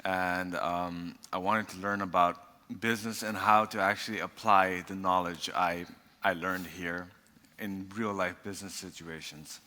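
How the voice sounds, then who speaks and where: A young man from Curacao, a student, introducing himself calmly. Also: deep voice, unhurried. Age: young adult. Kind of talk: self-introduction. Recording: long hall reverb.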